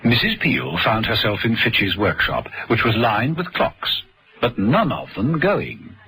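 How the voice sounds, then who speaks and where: A man narrating a scripted radio thriller serial in a measured storytelling manner. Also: lowish voice, sing-song, rough recording. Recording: radio serial with loud music behind. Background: music.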